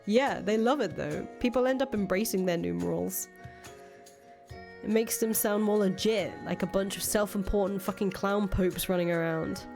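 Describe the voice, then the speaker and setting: A woman's voice: medium pitch, moderate pace, a little mellow, natural voice. Woman acting a jaded, foul-mouthed young woman. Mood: exasperated, incredulous. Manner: blunt, sardonic, exasperated swearing. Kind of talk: voiced reading of visual novel dialogue. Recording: music playing under the voice.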